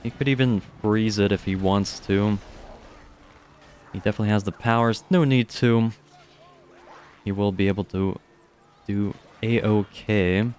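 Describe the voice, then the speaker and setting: A young man with a deep, low voice casually commentates a live strategy game match from his home streaming setup. His delivery is chatty and relaxed, with occasional offhand swearing. A faint ambient hum sits beneath the close studio microphone sound. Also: measured pace, pauses often. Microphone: studio dynamic microphone.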